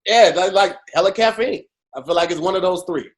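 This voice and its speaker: A man co-hosting a podcast, joking around in an animated, profane way. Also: high-pitched, brisk. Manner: animated, profane, jokey storytelling with mocking quoted voices. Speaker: podcast co-host. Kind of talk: casual comedic chat.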